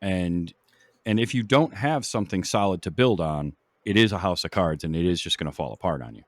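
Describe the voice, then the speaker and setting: A man chatting casually about films as a podcast panelist, thoughtful and measured, building his points from examples. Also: low-pitched, expressive, no room sound. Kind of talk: casual film discussion.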